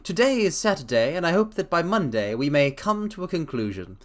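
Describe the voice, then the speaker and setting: Brisk eccentric detective from a man reading aloud, high, crisp, theatrical, lively lilt. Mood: imperious. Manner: crisp, theatrical, clipped delivery. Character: brisk, eccentric male detective, sharp and imperious.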